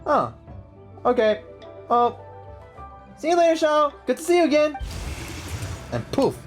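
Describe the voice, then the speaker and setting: A man's voice: extremely high pitch, big pitch swings, measured pace. A young man giving casual, slangy, reactive commentary on a video game he is playing. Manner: laid-back, reactive, slangy. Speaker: gaming video host. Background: game music.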